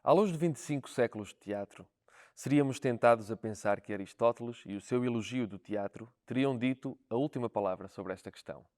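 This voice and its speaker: A man's voice: mid-range voice, brisk, darkish tone. A man with a European Portuguese accent reading a scripted educational narration.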